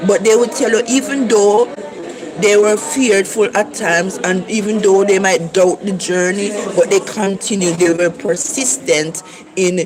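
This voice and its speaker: An earnest Jamaican-accented preacher speaks to online viewers, driving points home by repeating them. The voice sits at an ordinary middle pitch. A clear crowd murmurs behind. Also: steady tone, unhurried, poor audio. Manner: earnest, repetitive exhortation. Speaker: livestream preacher. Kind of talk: casual encouraging talk to livestream viewers.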